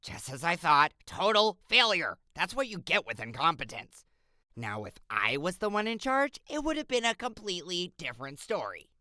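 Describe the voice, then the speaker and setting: Haughty, sharp-edged cartoon know-it-all man. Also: high-pitched, big pitch swings, moderate pace, no echo, full character voice. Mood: scornful. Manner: pompous, condescending.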